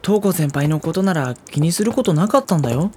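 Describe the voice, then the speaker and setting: A woman playing a gentle, diffident high school boy with a boyish voice in an anime audio drama. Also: lively intonation, a little fast, noisy background. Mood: gentle, curious. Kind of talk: anime audio drama dialogue and inner monologue.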